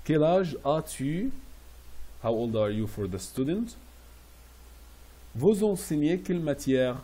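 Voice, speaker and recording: A man teaching French classroom phrases, repeating each slowly and translating it into English. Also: on the high side, animated, mellow. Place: Riyadh.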